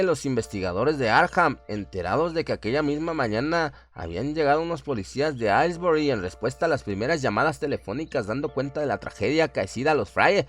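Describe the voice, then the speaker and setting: A man narrating a scripted horror story in a solemn, dramatic storytelling manner. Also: medium pitch.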